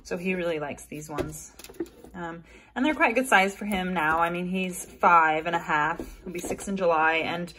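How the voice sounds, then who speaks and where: A woman's voice: on the low side, normal speed, pushed and bright, slight background noise. A woman in her thirties chatting casually through a grocery haul while handling items in her kitchen. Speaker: vlogger. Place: home kitchen.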